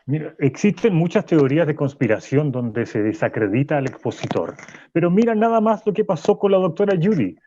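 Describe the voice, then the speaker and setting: An actor playing a radio host who delivers a grandiose conspiracy monologue, going from fast to slow. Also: male voice, fairly high pitch, big pitch swings, dark tone, slight background noise. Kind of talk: radio drama acting. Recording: dull, muffled studio sound.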